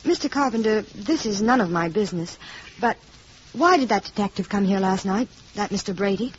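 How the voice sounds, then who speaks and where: An actress playing a polite, protective mother with a composed, gently firm way of talking in a 1950s radio play. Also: medium pitch, brisk, lightly acted. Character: polite, protective young mother living in a boarding house. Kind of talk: 1950s radio drama acting. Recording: dull, noisy old radio recording.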